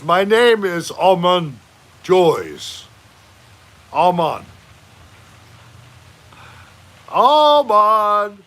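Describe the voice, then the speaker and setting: A man voicing a goofy old Icelandic man who tells rambling tall tales in a slow, loud way. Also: high-pitched, voice pushed up, full character voice. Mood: excited.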